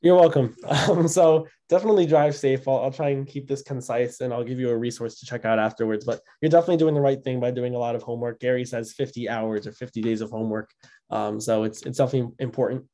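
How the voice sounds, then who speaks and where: A man's voice: medium pitch, fairly even intonation, fast talker, warm tone. A young man hosting an online session, talking loosely with many ums and restarts while presenting and taking questions. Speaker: host of an educational webinar. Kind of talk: presenting and answering questions on a video call. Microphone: video call microphone.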